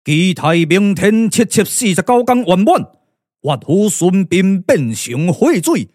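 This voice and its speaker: A man with a Taiwanese accent playing an imperious, vengeful ancient Taoist sage in pompous, drawn-out puppet-theatre radio declamation. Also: sits high, very animated, a little mellow, no room sound, studio quality, heavily acted. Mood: haughty, brooding.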